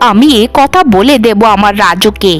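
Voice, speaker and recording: A woman's voice: mid-range voice, animated, normal speed, faint music behind, natural voice. A woman voicing a stern, critical older mother-in-law in a family cartoon, reproachful and lecturing. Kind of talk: Bengali family cartoon dialogue.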